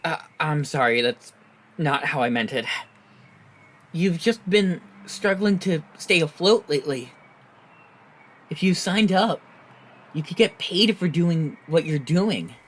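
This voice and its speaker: A man's voice: a little high, lively intonation, takes it slowly, pauses often. An actor playing an earnest, slightly awkward young male superhero in an audio drama, hesitant and sincere, sometimes stammering. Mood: hesitant, concerned.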